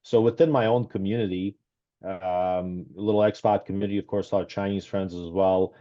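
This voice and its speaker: Low, dark-voiced man on a muffled call. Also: fairly even intonation, fairly quick. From Serbia, raised in Canada. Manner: hesitant, many ums and restarts. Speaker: guest, author. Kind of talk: casual conversational interview. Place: small room at home. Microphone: headset. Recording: dull lo-fi video call audio.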